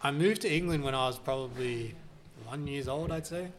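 A man's voice: mid-range voice, normal speed. Hesitant young man telling his story. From Australia. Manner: hesitant, many fillers and restarts, relaxed. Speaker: podcast guest. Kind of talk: casual podcast chat.

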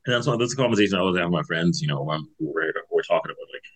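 Guy in his 30s from zimbabwe, really low voice, talks fast, lots of "you know" and restarts. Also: animated. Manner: loose and chatty, many fillers and restarts. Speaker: guest. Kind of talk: casual interview chat.

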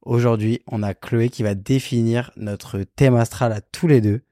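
A man's voice: mid-range voice, fairly even intonation, a little slow, mellow, no room sound, studio quality. A man in his twenties co-hosting a podcast, joking and teasing in casual chat. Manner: joking, teasing, mock-indignant. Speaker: podcast co-host.

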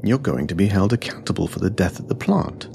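An actor playing a cold, menacing interrogator in an audio drama, commanding and gravelly. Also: male voice, sits low, expressive, brisk, mellow, slight background noise. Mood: cold menace.

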